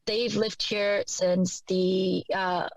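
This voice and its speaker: A woman raised in Poland whose first language was Vietnamese, answering interview questions in a measured way with frequent fillers. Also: mid-range voice, flat delivery, dry recording, low-quality recording. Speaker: guest. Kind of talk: conversational interview.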